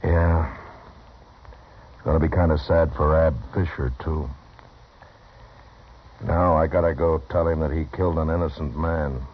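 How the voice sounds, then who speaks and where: Frontier marshal, extremely low voice, grave, terse, compassionate, old radio drama, dull recording. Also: male voice, level tone, measured pace, pauses often. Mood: grim, regretful. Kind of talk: 1950s radio western acting. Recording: muffled, faintly noisy old radio recording.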